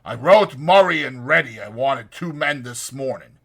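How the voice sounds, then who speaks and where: A man's voice: mid-range voice, expressive, moderate pace, a bit bright, voice pushed up. A man voicing a stern, stocky ranch boss with a brusque, businesslike manner for a dramatized audiobook. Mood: annoyed, brusque.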